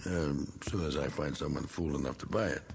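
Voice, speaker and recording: An actor playing a gruff, bitter middle-aged American war veteran in a radio drama, with muttered, clipped phrases. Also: male voice, a bass voice, brisk, darkish tone, a little hiss or hum. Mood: grudging, sardonic.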